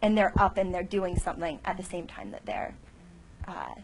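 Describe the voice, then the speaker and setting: A woman's voice: on the low side, normal speed, noisy background. A woman who leads a children's small group, offering an idea hesitantly in a casual group discussion. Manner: hesitant, with fillers.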